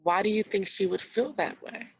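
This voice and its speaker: A woman's voice: mid-range voice, normal speed, vocal fry, lo-fi sound, a little hiss or hum. A woman teacher reading a storybook aloud to young children, explaining words and asking guiding questions as she goes. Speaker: elementary school teacher.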